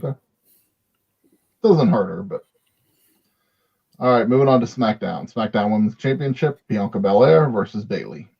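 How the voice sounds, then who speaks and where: A man's voice: on the low side, expressive, normal speed, creaky, long pauses, breathy edge, lo-fi sound. A man hosting a podcast in a casual chat, introducing topics and moving the discussion along.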